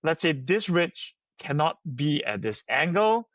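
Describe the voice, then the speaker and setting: A man's voice: a little high, sing-song, unhurried, fairly bright tone, no echo. Singaporean man, dry slightly dull recording. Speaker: company founder, podcast guest. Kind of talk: conversational interview answers, anecdotal.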